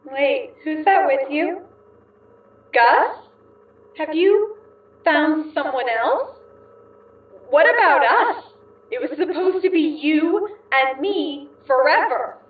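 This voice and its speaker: A woman's voice: high voice, animated, slow pace, long pauses, narrow, tinny audio. A woman playing a jealous, scorned ghost lover in a radio drama, melodramatic and wounded. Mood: hurt, jealous. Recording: echoing, distant, thin band-limited sound.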